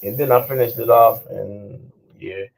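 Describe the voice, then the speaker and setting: A hoarse man with an American accent telling a story in casual chat and acting out what others said. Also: lowish voice, poor audio. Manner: slow, drawling storyteller who acts out other people's lines. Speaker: channel host. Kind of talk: casual storytelling with quoted dialogue and sung passages.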